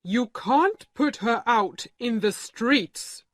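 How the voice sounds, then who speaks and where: A woman voicing a calm, blunt male lawyer who speaks in a flat, matter-of-fact way. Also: on the high side, takes it slowly, dry recording, pitched above the performer's own voice.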